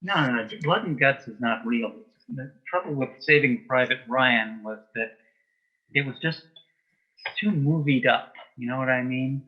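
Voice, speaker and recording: Husky man in measured conversation, slightly muffled. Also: mid-range voice. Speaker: interview guest, author and playwright. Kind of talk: casual chat interview.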